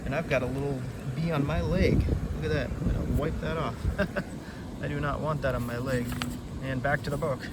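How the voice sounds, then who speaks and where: Man, slightly high voice, casual storytelling host, rambling, sidetracked, noisy outdoor recording. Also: fairly even intonation, normal speed. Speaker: read-aloud storytelling host. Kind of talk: casual chat summarizing a children's book. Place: outdoors beside a river.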